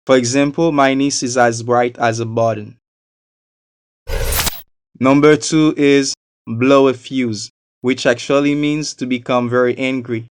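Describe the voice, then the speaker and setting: Clear-voiced man from Haiti teaching English. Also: mid-range voice, no echo. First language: not a native English speaker. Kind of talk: scripted educational narration.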